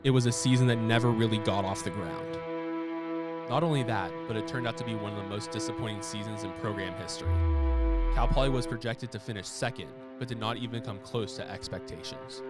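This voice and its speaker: A man narrates a sports documentary in a measured, storytelling way. His voice sits a little low, and guitar music plays clearly underneath him the whole time. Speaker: podcast host and narrator. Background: music bed.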